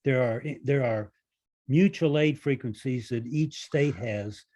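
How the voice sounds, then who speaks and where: A man from the audience asking technical questions in a deliberate, halting manner. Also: mid-range voice, darkish tone.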